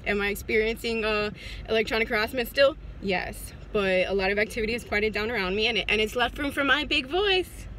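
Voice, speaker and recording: A woman's voice: on the high side, a little fast, fairly bright tone, slight background noise. A woman in her forties talking to her phone camera from a parked car, casual and hesitant with many fillers and restarts. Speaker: vlogger. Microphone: phone at arm's length.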